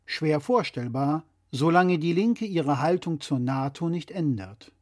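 Man with a higher-pitched voice, scripted explainer. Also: animated, unhurried, a little mellow. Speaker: presenter.

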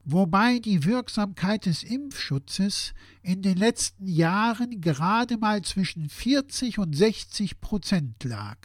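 A performer plays a sober, skeptical partner in an audio-play dialogue. The delivery is unhurried with very lively intonation, recorded dry and scripted in style. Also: medium pitch, no room sound. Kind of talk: scripted satirical dialogue on current affairs.

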